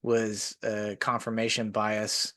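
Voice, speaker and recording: A man's voice: on the low side, monotone, takes it slowly, fairly bright tone. A man hosting a podcast. He chats casually and rambles through long stories, with many "like" fillers. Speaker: podcast host. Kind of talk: casual conversation.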